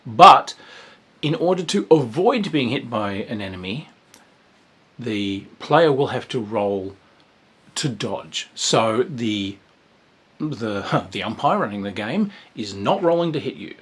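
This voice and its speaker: A man with a low, husky voice talks through a tabletop game review in a loose, chatty way. He meanders, often pausing with ums and restarting his sentences. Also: a bit bright. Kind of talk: casual spoken review.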